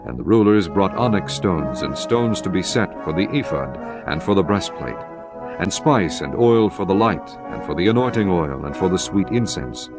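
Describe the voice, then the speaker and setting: A man reading scripture as a narrator, in a measured, formal scripted style. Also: sits low, animated. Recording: music bed behind the voice.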